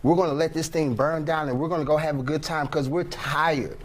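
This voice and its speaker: A man's voice: a little high, steady tone, a little fast. A man on a debate panel, arguing in a heated, exasperated way.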